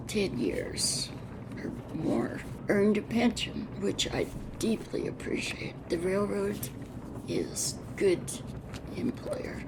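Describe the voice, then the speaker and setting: Really old american lady remembering the past, creaky slow halting voice, noisy recording. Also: mid-range voice. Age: 80s or older. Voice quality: aged, creaky voice. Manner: halting, plain-spoken, trailing phrases. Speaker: retired railroad worker. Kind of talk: oral history reminiscence.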